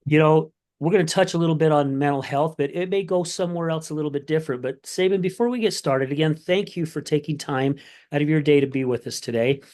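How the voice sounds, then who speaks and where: A man's voice: on the high side, quick pace, darkish tone. A man hosting a recovery podcast, reading a sponsor message and introducing his guest in a friendly, earnest, conversational way. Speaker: podcast host.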